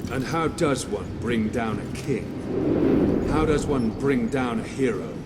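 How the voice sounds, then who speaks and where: An actor playing a bitter, self-righteous revolutionary villain in a video game, speaking in deliberate, theatrical speeches. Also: male voice, medium pitch, animated, very noisy. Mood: grim resolve. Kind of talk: dramatic video game cutscene acting. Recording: loud music under the voice. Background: loud game score.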